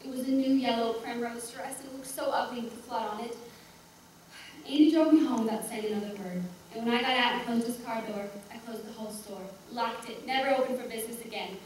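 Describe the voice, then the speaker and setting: A woman plays an elderly woman in a stage monologue, reluctant and blunt yet chatty. Her voice sits fairly high, and she keeps interrupting herself with dismissive asides. It is heard from a distance in a very echoey room. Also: brisk, natural voice. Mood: regretful. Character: reluctant, embarrassed seventy-two-year-old woman, chatty and blunt. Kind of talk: theatrical monologue. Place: echoey room, recorded from far off. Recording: echoey room, distant mic, faint noise.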